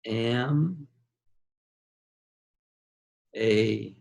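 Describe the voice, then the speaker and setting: A man reading a literary novel aloud in a measured, dramatic way, with deliberate pauses between short phrases. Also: medium pitch, creaky, a little mellow.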